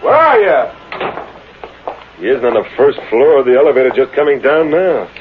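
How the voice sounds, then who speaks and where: An actor playing a callous, impatient small-time swindler with a brusque manner in a 1930s radio drama. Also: male voice, mid-range voice, sing-song, normal speed, natural voice. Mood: eager. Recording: tinny, noisy 1930s radio recording.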